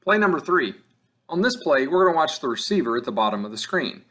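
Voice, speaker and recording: A man narrates a training film as an instructor would. His voice sits a little high for a man. He speaks in short, measured, matter-of-fact statements, yet his intonation rises and falls in a lively way. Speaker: football officiating instructor. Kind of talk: scripted instructional narration over game film.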